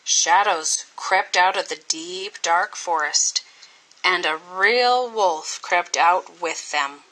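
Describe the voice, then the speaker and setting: A woman reading a children's story aloud in an expressive, scripted style with dramatic, deliberate phrasing. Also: on the low side, low-quality recording. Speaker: storybook reader.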